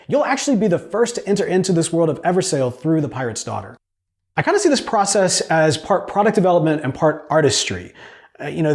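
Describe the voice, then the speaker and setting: A middle-aged man telling a personal story in a conversational, earnest way as he pitches his crowdfunding project. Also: darkish tone. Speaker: podcast producer and storyteller pitching his audio drama.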